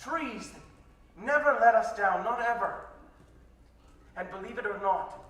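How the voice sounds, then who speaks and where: Man playing a high-pitched, earnest revolutionary orator. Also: a little slow, fairly bright tone. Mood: tender, reverent. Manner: earnest, emphatic oratory with rhetorical questions. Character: male revolutionary orator, earnest, defiant, grave. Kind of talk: dramatic public speech in staged story reading. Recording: roomy live stage, distant microphone.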